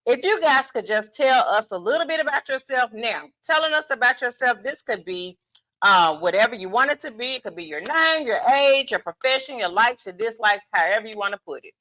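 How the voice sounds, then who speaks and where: A woman's voice: a little high, animated, fairly quick, pushed and bright, poor audio. A woman hosting a live panel in a casual, playful and commanding way, repeating phrases for emphasis. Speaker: guest moderator and host. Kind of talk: lively unscripted event hosting, casual banter. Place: live podcast panel event.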